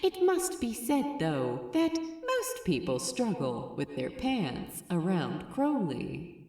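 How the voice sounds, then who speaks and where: Very animated, unhurried woman narrator. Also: on the low side, husky, dry recording, studio quality. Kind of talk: expressive scripted fiction narration.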